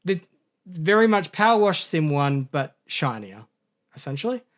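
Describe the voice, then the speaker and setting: A man's voice: on the high side, very animated, unhurried. A man co-hosting a gaming podcast, rambling through a story in a casual way, correcting himself and laughing at his own mishaps. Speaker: podcast co-host.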